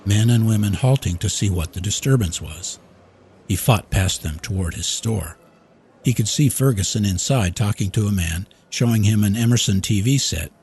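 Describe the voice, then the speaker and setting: A man's voice: lowish voice, moderate pace, a little mellow, breathy edge. A man narrating a short story from a script in a dramatic reading style. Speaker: audiobook reader.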